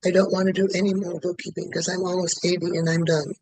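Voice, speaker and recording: A man in his late seventies speaks in a high, flat voice, close and dry. He repeats the same statement again and again at a brisk, steady clip as a client in a tapping session. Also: dry recording. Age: 70s. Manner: repeats one statement over and over in a quick, even rhythm.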